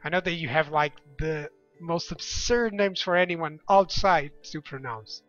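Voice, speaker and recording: A young man talks loosely to his viewers while he plays, rambling from one thought to the next and laughing often. His voice sits a little high and sounds bright and forceful. A dull, lo-fi mic carries it, with faint game music underneath. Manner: chatty, rambling, quick laughs. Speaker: game streamer. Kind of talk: casual let's-play chat with viewers.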